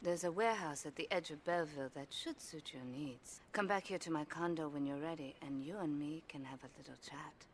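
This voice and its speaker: A woman acts as a sly, commanding female Yakuza boss in a video game. Her voice is low, and she speaks in a measured, dry, imperious way. There is faint ambience behind her. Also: a little hiss or hum, lightly acted. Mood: cool, businesslike. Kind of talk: video game cutscene acting.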